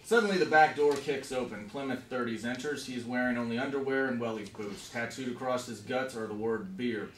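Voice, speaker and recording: A man's voice: mid-range voice, a little fast, few pauses. Man narrating a script, echoey room. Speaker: screenwriter reading stage directions. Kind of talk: scripted narration at table read. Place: conference room around a long table. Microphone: camera mic across the room. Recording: echoey room, distant mic, faint noise.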